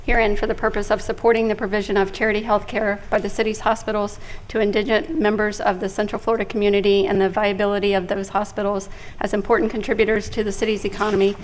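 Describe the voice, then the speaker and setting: A woman's voice: medium pitch, low-quality recording. A woman serving as city clerk, reading a long formal resolution into the record. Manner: rapid, flat, formal legal reading. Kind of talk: reading a resolution title aloud.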